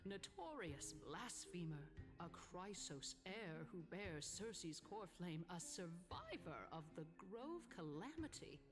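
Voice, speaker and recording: An actress playing an imperious, formal council leader in a video game, stately and mock-polite. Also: female voice, fairly low pitch, very animated, takes it slowly, hardly any pauses. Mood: icily polite. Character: imperious formal woman presiding over a council. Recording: loud music under the voice.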